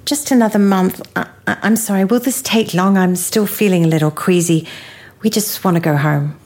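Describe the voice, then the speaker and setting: An actress playing a worried, protective wife in a naturalistic audio drama. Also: female voice, lowish voice, moderate pace, darkish tone. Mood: weary. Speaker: actor.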